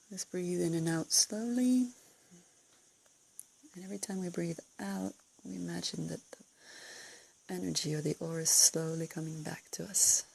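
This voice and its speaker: A woman leading a guided meditation, giving calm, unscripted instructions in a soothing, breathy way with gentle pauses. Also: fairly low pitch, a little hiss or hum, rough recording. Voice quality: breathy, husky, creaky.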